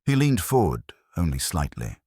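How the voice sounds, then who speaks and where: A man's voice: low-pitched, huge pitch swings, moderate pace, darkish tone, husky, no room sound, studio quality. A man reading a first-person detective story as a scripted narration. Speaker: audiobook narrator.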